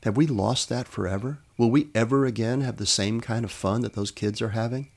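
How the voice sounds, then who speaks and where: A man's voice: medium pitch, brisk, lightly acted. A man voicing a wistful elderly woman, earnest and hesitant, afraid of growing old, in a storybook reading. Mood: resentful, pleading.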